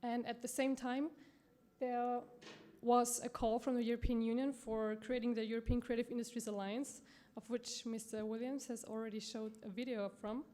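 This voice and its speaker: A woman from Austria with an Austrian accent, giving a conference presentation in a halting way with many fillers and self-corrections. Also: on the high side, little pitch movement, dark tone. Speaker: conference speaker from a promotional bank.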